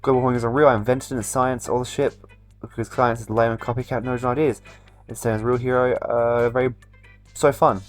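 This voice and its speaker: Male gamer, casual narrator of on-screen text, stumbling delivery, average pitch, music underneath. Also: fairly even intonation, brisk. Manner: stumbles over words while reading. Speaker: gamer reading a dating game aloud. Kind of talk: playthrough narration with casual commentary.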